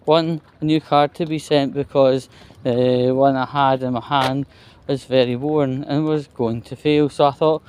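A man's voice: medium pitch, steady tone, a little slow, hardly any pauses, darkish tone, a little hiss or hum. A man giving a casual, rambling walking vlog monologue, sweary and weary, recorded on a phone outdoors. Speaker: vlogger. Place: walking outdoors along a residential street. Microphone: handheld phone. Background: street ambience, passing vehicles.

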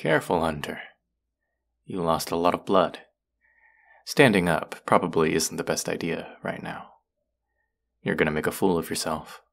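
Guy doing a grumpy predatory vampire student, really deep voice w lots of fry, snide and sarcastic, audio rp style. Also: normal speed, pauses often, a bit bright, full character voice. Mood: smug, cold. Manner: sarcastic, curt, condescending. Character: irritable, predatory young male vampire college student. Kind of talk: intimate audio roleplay acting.

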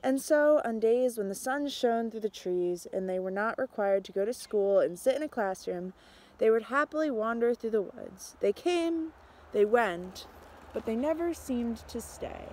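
A young adult woman reading a picture book aloud outdoors, with a measured, expressive storytelling delivery. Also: on the high side. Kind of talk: storybook read-aloud, narrated as a tree. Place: outdoors in snowy winter woods.

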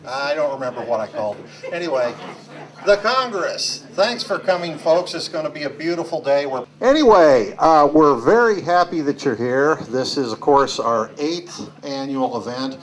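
A man's voice: a little high, expressive, moderate pace. A man giving an informal, loose welcome speech to an audience as host of an event. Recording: distant microphone in a room.

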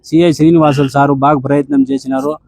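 A man's voice: fairly high pitch, level tone, fast, darkish tone, dry recording. A man with a Rayalaseema Telugu accent giving a respectful, deferential account on the phone, often addressing the listener as sir. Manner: deferential, ends sentences with "sir". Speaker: bereaved father on a phone call.

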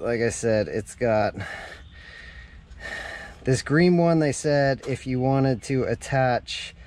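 A man with a mid-pitched voice talks through a do-it-yourself job in a casual, informal way. He thinks aloud as he works. There is clear noise behind him, recorded on an action camera out by a parked vehicle. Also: a little mellow. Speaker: vlogger showing a DIY truck install. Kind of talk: casual hands-on how-to narration. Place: outdoors in and around a parked truck in a driveway.

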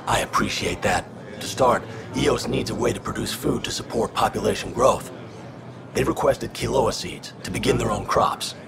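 Very gravelly space supply pilot. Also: male voice, medium pitch, moderate pace, heavy background noise. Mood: businesslike. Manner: terse, matter-of-fact, insistent. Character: businesslike, impatient male supply pilot in space. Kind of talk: video game dialogue acting.

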